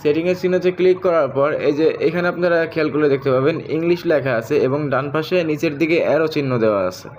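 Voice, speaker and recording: A man's voice: fairly high pitch, very fast, few pauses, darkish tone. A man with a Bangladeshi accent narrating a step-by-step app tutorial, speaking directly to viewers and repeating each step. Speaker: tech tutorial host. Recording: faint background noise.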